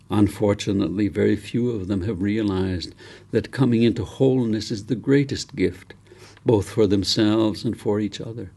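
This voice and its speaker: A man narrating as a wise old grandfather from a storybook, his voice gravelly and fairly low. He speaks in a reflective, trusting, deliberate way to his fellow villagers. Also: darkish tone.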